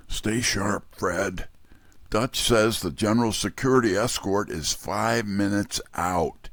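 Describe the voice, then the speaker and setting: Slow, low-voiced wry man as a detective's sidekick. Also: a bit bright, no echo. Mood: urgent. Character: wry, streetwise male detective's assistant telling the story. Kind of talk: first-person scripted mystery narration.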